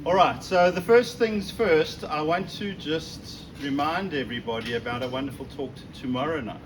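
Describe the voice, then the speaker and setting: A man's voice: on the high side, moderate pace. South African man speaking in an echoey room. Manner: self-deprecating, conversational, rhetorical questions. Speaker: speaker giving a talk at a synagogue. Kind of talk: informal religious talk to an audience. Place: indoors in a synagogue, before an audience. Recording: echoey room, distant mic, noisy background.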